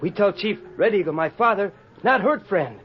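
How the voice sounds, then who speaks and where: An actor, a man, playing an earnest, loyal young Comanche boy in halting broken English for a radio western. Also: high-pitched, lively intonation. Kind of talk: 1950s radio western acting. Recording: muffled, noisy old radio recording.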